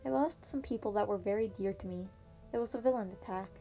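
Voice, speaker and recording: A bright-minded, earnest schoolboy played by a woman narrator in a story reading. He talks politely and explains things keenly, with soft piano music behind him. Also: mid-range voice, fast talker, natural voice. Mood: quiet grief. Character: earnest, clever teenage boy student hiding his trauma.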